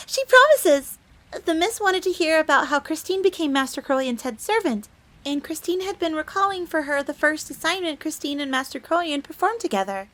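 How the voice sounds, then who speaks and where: A young female fox maid in an audio roleplay, pitched very high. She is sweet, eager and devoted, always deferential to her master. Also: animated, moderate pace, a bit bright. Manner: deferential, refers to herself in third person.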